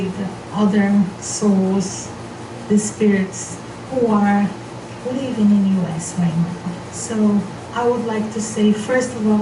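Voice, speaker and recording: A woman whose first language is Portuguese, leading a spiritual seminar in English, speaking carefully and gently guiding her listeners. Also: medium pitch. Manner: slow, careful, gently guiding, with frequent restarts. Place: meeting hall of a spiritist society. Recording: echoey hall, voice from far off, noisy.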